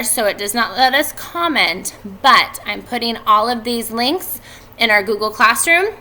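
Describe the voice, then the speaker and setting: A woman in her thirties, a schoolteacher speaking to children in a deliberate teacherly way, recorded at home on a webcam. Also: mid-range voice, gravelly, sharp tone. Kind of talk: read-aloud and instructions for schoolchildren. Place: indoors at home by a fireplace. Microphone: computer webcam at desk distance.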